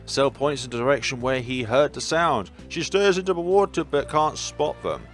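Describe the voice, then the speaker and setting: A man's voice: mid-range voice, expressive, moderate pace, fairly bright tone, soft background music. A man doing let's-play narration of a visual novel, reading aloud with chatty asides and the odd stumble.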